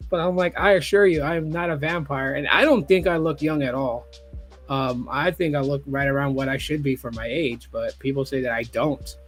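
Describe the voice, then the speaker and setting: A man's voice: medium pitch, a little fast, soft background music. A man in his forties hosting a casual online chat, swearing freely and acting out quoted talk. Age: 40s. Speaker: YouTube host.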